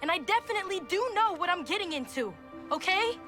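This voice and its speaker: A sassy teen daughter. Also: female voice, extremely high pitch, expressive, a little fast, bright, forceful tone, faint music behind, full character voice. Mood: defiant. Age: young adult. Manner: sarcastic, valley-girl fillers. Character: sassy eighteen-year-old girl, rebellious daughter. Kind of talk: video game cutscene acting.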